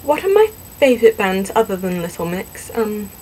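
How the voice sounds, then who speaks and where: A young woman, born in Singapore and raised in the southwestern US, casually answering questions about herself to a camera, chatty and self-deprecating with many pauses and ums. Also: medium pitch, sing-song, warm tone, a little hiss or hum, low-quality recording. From born in Singapore, grew up in the southwest US. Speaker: vlogger in a fan collab channel.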